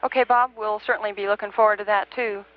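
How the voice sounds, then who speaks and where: A woman's voice: medium pitch, steady tone, fast, slight background noise. A woman working as a mission control communicator, talking procedurally but conversationally to a crew over the radio. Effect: radio transmission. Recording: dull band-limited space-to-ground radio link.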